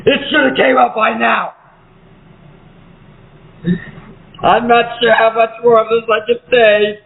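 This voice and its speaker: A man playing a desperate, grieving apocalypse survivor in a halting, pleading horror audio drama monologue. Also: extremely high pitch, flat delivery, gravelly. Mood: frustrated. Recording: echoey, phone-like, faint noise.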